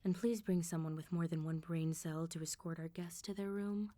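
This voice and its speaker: A vampire mob boss played by a woman speaks in a low, dark, mellow voice, soft and close as in ASMR. She is controlled and smooth-talking. Her teasing calm carries a quiet threat. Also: normal speed, natural voice. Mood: commanding.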